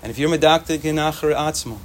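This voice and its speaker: A young man whose first language is Yiddish, giving an informal, animated Torah talk to students and repeating phrases for emphasis. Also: a little high, fast talker, a little hiss or hum. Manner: animated, repetitive, sprinkles Hebrew and Yiddish terms.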